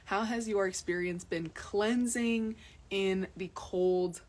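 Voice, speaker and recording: A woman's voice: mid-range voice, steady tone, slow. A young adult woman from Massachusetts, chatting casually to viewers in a live video recorded outdoors on a phone, often repeating words for emphasis. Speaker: health vlogger. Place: outdoors in cold mountains.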